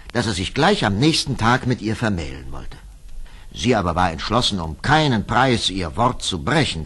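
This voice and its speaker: Low, husky male storyteller. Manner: expressive, dramatic storytelling. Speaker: narrator. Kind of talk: scripted fairy-tale narration.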